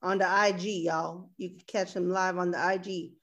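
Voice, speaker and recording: A woman's voice: lowish voice, level tone, moderate pace, fairly bright tone, highs cut off. A woman hosting a podcast who steers the conversation and asks the guest where to find him. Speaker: podcast host. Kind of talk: casual podcast interview.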